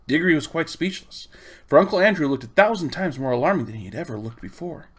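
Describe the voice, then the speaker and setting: A man reading a children's storybook aloud in expressive, measured phrases. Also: fairly high pitch, breathy edge. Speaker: audiobook reader. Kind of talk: storybook narration.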